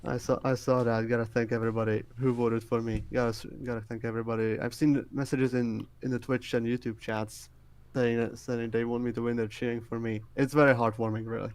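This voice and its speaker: A man's voice: medium pitch, flat delivery, a little fast, few pauses, a little mellow, smooth. A man with a Czech accent giving casual answers as an interviewed competitor. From Czech Republic. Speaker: race winner being interviewed.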